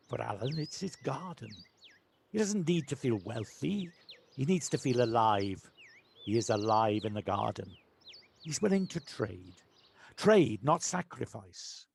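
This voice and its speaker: A man's voice: mid-range voice, very animated, measured pace, gravelly, a little mellow. A man playing a wise, affectionate village handyman in an audio play, plain-spoken and reassuring. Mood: calm, earnest. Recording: amateur home recording with background noise.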